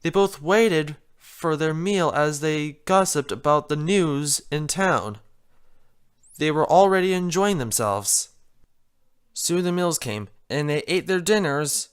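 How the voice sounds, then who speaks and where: A narrator reading a story aloud in an expressive scripted style. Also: medium pitch, clear, resonant tone. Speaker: story reader.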